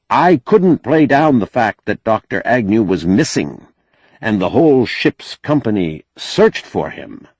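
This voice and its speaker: Man playing weary gravelly spaceship captain. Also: fairly low pitch, darkish tone, no room sound, full character voice. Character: ageing male spaceship captain, weary, haunted, commanding.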